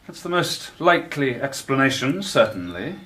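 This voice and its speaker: A man playing a brilliant, eccentric amateur detective in a radio drama, cryptic, brisk and self-assured. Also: medium pitch, big pitch swings, pushed and bright, slightly rough, full character voice. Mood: musing, probing.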